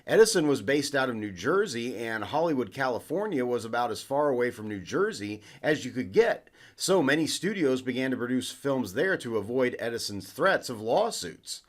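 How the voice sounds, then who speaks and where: A man with an American accent narrating a scripted educational lesson in an emphatic explainer style. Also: mid-range voice. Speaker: host of educational history videos.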